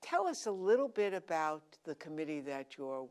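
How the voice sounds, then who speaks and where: A woman with a very low-pitched voice hosts a talk show interview. She is chatty and conversational, steering guests with questions and exclaiming at their answers. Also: big pitch swings, normal speed, darkish tone.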